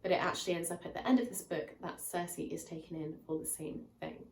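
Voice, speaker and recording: A woman's voice: on the low side, fast talker, creaky, a little mellow, reverberant, distant mic, a little hiss or hum. A woman reviewing a book to her viewers in a chatty way, sounding slightly nasal and stuffed up from a lingering cold. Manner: chatty, recaps plots with frequent "um" and "kind of". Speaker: book reviewer and channel host. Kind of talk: casual spoiler-filled book review to camera.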